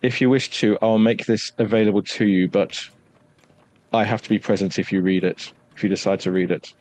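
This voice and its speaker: A man voicing a cautious scholar who guards forbidden books, speaking in a formal, measured, warning way in a tabletop game. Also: on the low side, fairly even intonation, darkish tone, lightly acted. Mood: firm, cautious.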